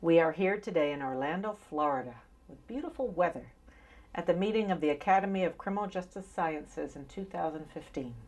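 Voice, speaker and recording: A woman's voice: lowish voice, lively intonation, a little fast, darkish tone. A middle-aged woman introducing an interview in a formal manner, reading from notes. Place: small indoor interview set.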